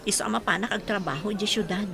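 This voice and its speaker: A woman's voice: medium pitch, fast, creaky, background noise, close to an everyday voice. An actress playing a worried but determined wife and mother in an Ilocano radio drama, with an Ilocano accent. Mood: worried, insistent.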